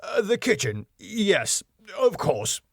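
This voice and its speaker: A man's voice: sits high, sing-song, slow pace, slightly rough, no room sound, studio quality, voice pushed up, exaggerated voice. A man voicing a flustered club owner in a sitcom, stammering, hesitant and eager to please. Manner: stammering, hesitant, over-apologetic. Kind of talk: sitcom script read aloud.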